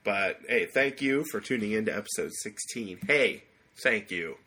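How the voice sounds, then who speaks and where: A man's voice: on the low side, expressive, moderate pace, pushed and bright. A man hosting a podcast, chatting in a casual, comic and rambling way. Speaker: podcast host.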